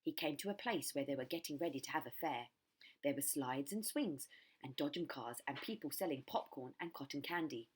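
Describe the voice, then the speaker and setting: A woman reads a children's story aloud in scripted narration. Her voice sits low for a woman. It has a husky edge. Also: expressive, brisk. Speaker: primary school story reader.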